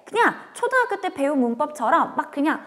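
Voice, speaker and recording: A woman's voice: high-pitched, big pitch swings, fast, a bit bright, in a large hall. A woman teaching a grammar lecture, talking straight to her students and moving from casual talk into polite speech.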